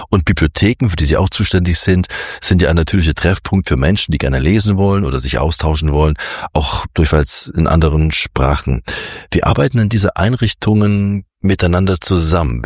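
A man hosting a radio show, asking guests brisk, chatty questions. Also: low voice, husky, no room sound, studio quality.

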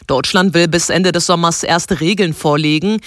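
A woman delivering a scripted radio news report in a brisk, clear way. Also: lowish voice, no room sound, clean studio sound.

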